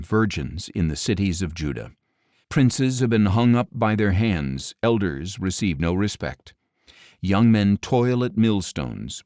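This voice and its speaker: Low-voiced man solemnly reading scripture. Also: a little mellow, no room sound, studio quality. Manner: measured, grave delivery with deliberate phrasing. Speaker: audiobook narrator.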